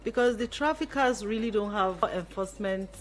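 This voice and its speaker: A woman's voice: medium pitch, moderate pace, soft background music. A woman with a Nigerian accent giving considered, explanatory answers as a radio guest. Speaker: guest, foundation founder. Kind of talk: interview answers. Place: radio studio.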